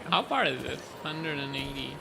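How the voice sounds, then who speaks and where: A man's voice: medium pitch, lively intonation, moderate pace, gravelly. A man giving casual gameplay commentary, muttering offhandedly to himself as he plays. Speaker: game streamer. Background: game music.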